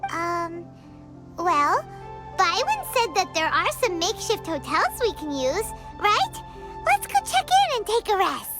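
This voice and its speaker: A woman's voice: very high-pitched, sing-song, unhurried, full character voice. A woman voicing a small, childlike, chirpy and bossy female companion sprite in a video game. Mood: curious, concerned. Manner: refers to self by own name in third person. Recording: music behind the voice.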